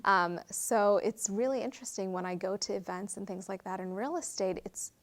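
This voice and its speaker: A woman in her thirties from Fiji, a law firm partner, speaking earnestly in an interview and often pausing with fillers. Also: mid-range voice. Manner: earnest, reflective, frequent fillers. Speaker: guest, real estate attorney. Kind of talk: interview conversation.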